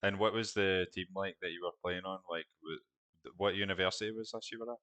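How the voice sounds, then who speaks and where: A man's voice: sits low, steady tone, fast, sharp tone, clean tone, no echo. A man hosting an interview, asking chatty, rambling questions. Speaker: interview host. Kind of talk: casual interview.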